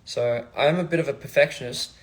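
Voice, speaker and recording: A young man from Australia, a musician chatting casually on a phone livestream in a halting, rambling, soft-spoken way. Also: mid-range voice. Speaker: musician streaming live. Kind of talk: casual live-stream chat.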